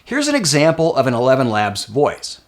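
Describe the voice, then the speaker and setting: Man, tutorial host, scripted narration, animated pitch movement, mid-range voice. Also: moderate pace.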